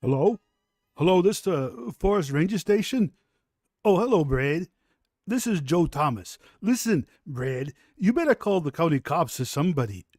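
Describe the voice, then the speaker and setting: A man playing a plain-spoken, flustered passerby in a vintage radio drama, explaining things in a halting, folksy way. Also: fairly high pitch, sing-song, darkish tone, no echo, clean studio sound. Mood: urgent, puzzled.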